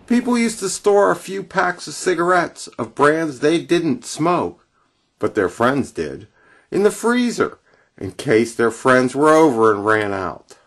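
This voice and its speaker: A man's voice: fairly high pitch, very animated, measured pace, fairly bright tone. A man delivering an opinionated radio monologue in an emphatic, wry manner. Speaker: radio presenter. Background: acoustic guitar music.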